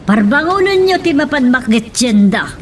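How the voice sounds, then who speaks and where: A woman's voice: sits high, big pitch swings, normal speed. A woman playing an imperious, stern rich mother in a radio drama. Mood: concerned, scolding. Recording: distant noisy radio drama recording.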